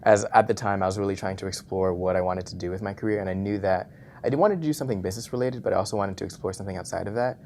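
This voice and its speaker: A young man from Houston speaks quickly in a deep, gravelly voice as he lays out his internship path. Light background noise is under him. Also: darkish tone. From Houston, Texas. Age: young adult. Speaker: business honors student.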